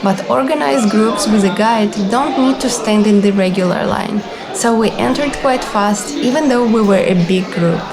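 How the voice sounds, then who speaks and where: A young woman reading scripted travel vlog narration in a clear, orderly, explanatory manner. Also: medium pitch, moderate pace, few pauses, crowd noise. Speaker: vlogger and cruise ship receptionist. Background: background music.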